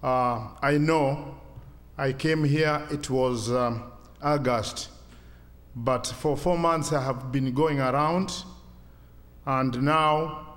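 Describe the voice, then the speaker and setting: A man in his sixties from Kenya with a Kenyan accent, a Swahili speaker giving a church testimony in halting, simple English. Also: medium pitch, steady tone, a bit bright, long reverb tail. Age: 60s.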